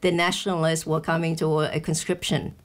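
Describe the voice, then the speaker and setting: A woman's voice: low voice, level tone, fairly quick. A woman in casual conversation, explaining history patiently with many restarts and fillers. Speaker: guest discussing a film.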